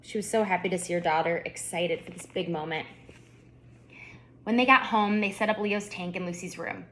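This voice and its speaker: A woman author narrating her children's book at storytime, with expressive, deliberate phrasing for young listeners. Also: fairly low pitch, slight background noise. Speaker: children's author reading aloud.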